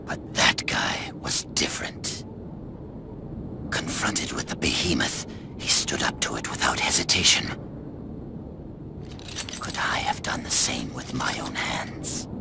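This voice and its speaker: An actor playing a hardened, world-weary male warrior in a game cutscene, gravelly, cynical and brooding, often close to a whisper. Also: a bass voice, expressive, background noise, exaggerated voice. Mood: brooding, bitter. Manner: slow, brooding delivery, often dropping near a whisper. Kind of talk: dramatic video game cutscene acting and narration.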